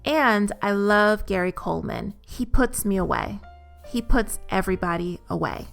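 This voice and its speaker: A woman's voice: medium pitch, lively intonation, moderate pace, creaky, faint music behind. A woman reading short quotations as scripted narration.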